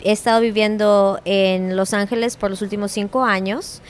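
A woman, an event organizer guesting on a podcast, confident, energetic and outspoken. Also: medium pitch, normal speed, slight background noise. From Houston, Texas, partly raised in Mexico. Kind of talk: casual interview talk.

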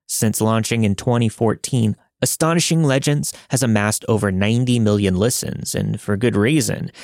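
Low-voiced man narrating, close and dry. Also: normal speed, a little mellow, no room sound. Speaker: podcast host and narrator. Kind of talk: scripted first-person story narration and host-read promo.

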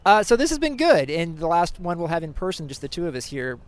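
A man's voice: fairly high pitch, big pitch swings, fast talker, a crowd in the background. A man hosting a podcast, chatting in an easygoing, teasing way and reading an ad. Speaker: podcast host. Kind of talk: casual chat and ad read.

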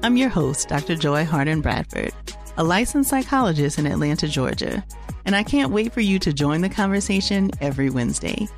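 A woman reading a scripted podcast promo in a calm, friendly way. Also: low voice, fairly quick, faint music behind. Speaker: podcast host in ad. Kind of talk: scripted ad read.

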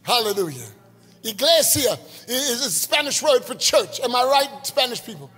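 A man delivers a sermon in a high, husky voice with a sharp, bright edge. He calls out to the congregation in rhythmic phrases and often rises to a shout. His voice rings through a hall with a long reverb over faint music. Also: very animated, moderate pace. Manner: rhythmic call-and-response preaching, rising to shouts. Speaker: preacher.

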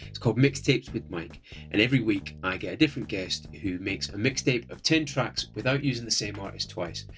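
A man with a soothing voice, talking in a relaxed, friendly way as a podcast guest. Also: fairly low pitch, brisk, soft background music. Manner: relaxed, friendly, gently humorous. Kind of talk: casual podcast chat.

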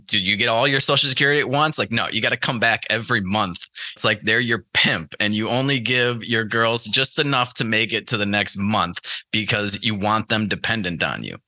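Very bright, low-pitched man. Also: brisk, no echo. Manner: informal, opinionated, conversational. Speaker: podcast guest, trading teacher. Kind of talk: casual interview chat. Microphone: close mic on an internet call.

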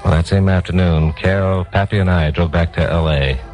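A man's voice: sits low, fairly even intonation, brisk, darkish tone, lightly acted. An actor with an American accent playing a tough, wisecracking newsman detective who narrates his case in a 1950s radio drama. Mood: tense, storytelling. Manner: hard-boiled, teasing. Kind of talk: 1950s radio acting, first-person narration. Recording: 1950s radio recording with music.

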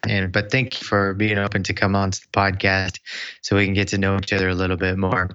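A man's voice: low-pitched, monotone, fast, bright, forceful tone, smooth, muffled recording. A man hosting a podcast interview, talking in an enthusiastic, friendly and chatty way over a remote call. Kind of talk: casual interview.

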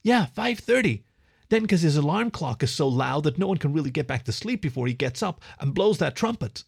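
A man's voice: fairly high pitch, expressive, fairly quick, vocal fry, darkish tone, slightly rough, voice pushed up, full character voice. A man voicing an awkward, indignant young fox boy who stammers and protests in a storybook. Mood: eager.

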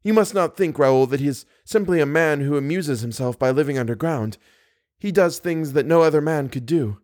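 A man's voice: a little high, normal speed, darkish tone, no echo, clean studio sound, voice pushed up, close to an everyday voice. A man voicing an anxious, devoted young woman singer in an audiobook, pleading and exclaiming dramatically. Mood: earnest.

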